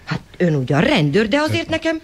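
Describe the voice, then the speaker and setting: An actor playing a polite, serious young boy, a devoted son, in an expressive radio drama style. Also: mid-range voice. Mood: polite, puzzled. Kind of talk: 1980 radio drama acting.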